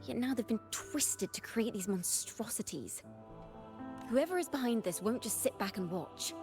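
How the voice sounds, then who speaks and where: A woman's voice: fairly high pitch, animated, moderate pace, natural voice. A woman voicing a cheerful, poetic young female bard in a video game, with a theatrical, whimsical, lyrical delivery. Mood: earnest, hopeful. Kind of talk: scripted video game voice acting. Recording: game music behind the voice. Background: background music.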